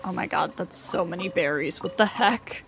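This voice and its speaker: A woman's voice: fairly low pitch, normal speed, creaky, poor audio. A woman game streamer giving casual commentary as she plays. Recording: game music behind.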